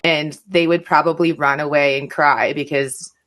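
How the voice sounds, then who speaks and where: A woman's voice: sits low, steady tone, normal speed, a bit bright. A woman speaking as a podcast guest, sharing personal stories in an informal, exaggerating way. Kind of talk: casual conversational storytelling.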